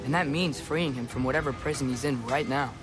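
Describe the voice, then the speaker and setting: A man's voice: mid-range voice, brisk, music in the background, natural voice. An actor playing an earnest, restless teenage boy in a video game, with a light youthful voice and casual modern phrasing. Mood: earnest, frustrated. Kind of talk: video game dramatic acting.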